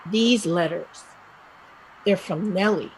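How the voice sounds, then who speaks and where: A woman playing a restless young Irish village woman set on emigrating, speaking emphatically and deliberately in a stage play reading. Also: fairly low pitch, a little hiss or hum, low-quality recording. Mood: determined.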